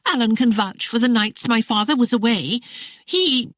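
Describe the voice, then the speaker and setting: A woman's voice: on the high side, normal speed, a bit bright, no room sound, pitched above the performer's own voice, natural voice. A woman voicing a haughty, scheming young lady who speaks with an arrogant sneer. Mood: indignant.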